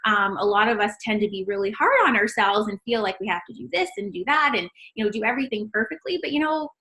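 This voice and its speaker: A woman's voice: medium pitch, fast talker, bright, forceful tone. A woman dietitian chatting cheerfully in an interview over a call, laughing often. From Canada. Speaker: registered dietitian guest.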